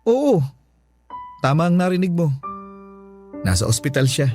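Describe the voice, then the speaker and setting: A sheepish, high-voiced Filipino boyfriend. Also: male voice, sing-song, a little mellow. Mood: nervous, defensive. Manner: halting, pleading. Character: apologetic young boyfriend, sheepish, pleading, sweet-talking. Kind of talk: Filipino radio drama acting. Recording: music bed behind the voice.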